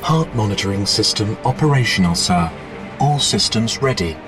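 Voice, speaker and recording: An actor playing a polite, composed AI butler in a video game, formal and deferential. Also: male voice, fairly low pitch, expressive, moderate pace, music in the background, close to an everyday voice. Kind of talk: video game cutscene acting.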